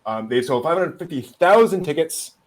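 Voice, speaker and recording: A man's voice: fairly high pitch, expressive, moderate pace. A man hosting a casual discussion of entertainment news, talking informally to others. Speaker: host.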